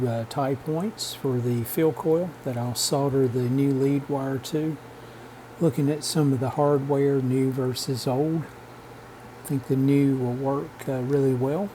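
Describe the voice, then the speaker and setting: A man narrating a vintage radio repair as a relaxed how-to voice-over, matter-of-fact and unhurried, with frequent "uh" pauses. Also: medium pitch, a little hiss or hum, poor audio. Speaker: radio restoration hobbyist.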